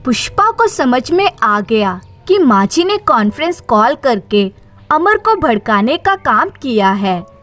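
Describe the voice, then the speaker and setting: A woman tells a dramatized moral story in a high voice with lively, swinging intonation. She takes it very slowly, as a storyteller would, with faint music behind her.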